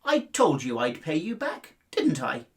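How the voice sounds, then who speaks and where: A man voicing a tiny, timid but plucky male mouse in a children's fable, squeaky and earnestly polite. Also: huge pitch swings, measured pace, a bit bright, exaggerated voice. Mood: cheerful, reassuring.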